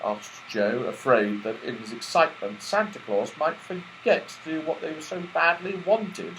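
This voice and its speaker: A man reading a children's story aloud as an expressive audiobook narrator. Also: fairly high pitch, moderate pace, a little hiss or hum, rough recording. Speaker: audiobook reader.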